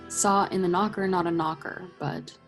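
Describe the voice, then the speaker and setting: A woman narrating a radio play as a scripted story, husky and measured with dramatic delivery. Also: lowish voice, fairly even intonation, soft background music.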